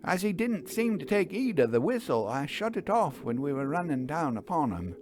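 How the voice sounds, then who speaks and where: A man's voice: a little high, very animated, moderate pace, darkish tone, slight background noise, voice pushed up. A man voicing a distraught, deferential working train driver in a dramatic story reading, urgent and colloquial. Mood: anxious, explaining.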